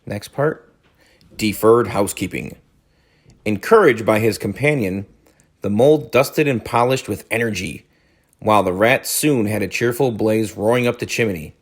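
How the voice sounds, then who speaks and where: A man's voice: lowish voice, normal speed. A man reading a story aloud as a teacher, adding informal explanatory asides.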